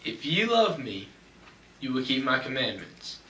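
Young guy giving a sermon, bright voice, roomy church picked up from back a ways. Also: medium pitch, very animated, slight background noise. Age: young adult. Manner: measured, slows for scripture reading. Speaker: preacher. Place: church sanctuary at a pulpit. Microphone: pulpit mic, far camera. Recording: echoey church from far off.

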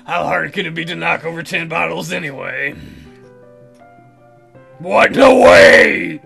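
A man's voice: on the high side, expressive, a little slow, gravelly, sharp tone. A man reading visual novel lines aloud as a let's player, dropping joking, teasing asides. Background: game music.

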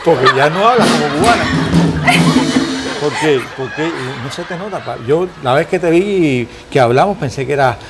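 A man's voice: fairly high pitch, animated, normal speed, heavy background noise. An older man hosting a TV interview in a studio on a lapel mic, with a joking, irreverent manner. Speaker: talk show host. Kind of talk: humorous TV interview banter. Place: indoor TV studio at a desk.

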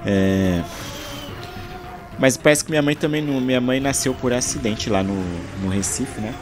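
A man's voice: mid-range voice, normal speed, music in the background. A man talking casually over his gameplay footage, rambling and searching for words, from Brazil. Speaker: gaming channel host.

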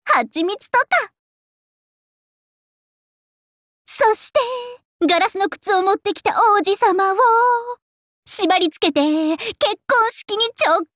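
A woman's voice: extremely high pitch, a little slow, a bit bright, no room sound, studio quality. A woman voicing a vain, bubbly young princess idol in a Japanese anime game, with a haughty, playful and theatrical delivery. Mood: playful, musing.

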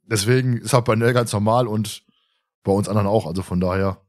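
A man's voice: fairly low pitch, brisk. A man in his thirties from Germany co-hosting a podcast in casual, colloquial, teasing chat. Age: 30s. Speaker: podcast host.

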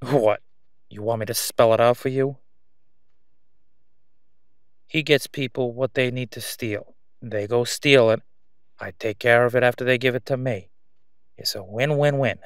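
A man playing a slick middle-aged crime boss in a roleplay audio drama, with a sarcastic, condescending, smooth-talking delivery. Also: medium pitch, normal speed, no room sound, clean studio sound, close to an everyday voice. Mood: conspiratorial, smug. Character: slick middle-aged male crime boss, mocking, sly, self-assured.

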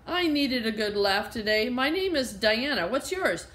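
A woman voicing a gentle, friendly grown woman in a children's story. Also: fairly high pitch, lively intonation, unhurried, pitched above the performer's own voice. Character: kindly adult woman with her dog. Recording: echoey room.

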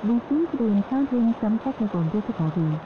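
Woman announcer, scripted notice, fairly high pitch, tinny, heavy noise. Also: expressive, fast talker. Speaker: technical difficulties announcer. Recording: tinny, very noisy broadcast audio.

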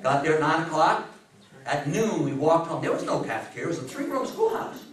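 An American man in his sixties speaks to a small audience like a lively storyteller. His voice sits a little high. He is picked up from a distance in a reverberant meeting room. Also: brisk. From New York State, US. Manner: animated, theatrical storyteller with rhetorical questions. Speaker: lecturer giving a history talk. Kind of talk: interactive public talk to an audience. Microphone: far camera. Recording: echoey room, distant camera microphone.

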